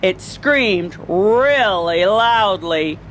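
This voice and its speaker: Unhurried woman storyteller with lively pitch. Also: very noisy. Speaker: children's storyteller. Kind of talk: animated read-aloud of a children's story.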